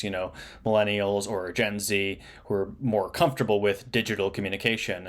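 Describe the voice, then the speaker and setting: A man presents a project in an explanatory way. His voice is on the low side. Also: fairly bright tone.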